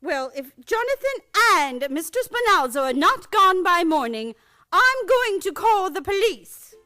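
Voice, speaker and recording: Woman acting prim old aunt in a radio play, high voice that swoops around, indignant. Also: very animated, measured pace, sharp tone, full character voice. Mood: fussy, insistent. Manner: prim, matter-of-fact about murder. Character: genteel, indignant, sweetly batty old maiden aunt.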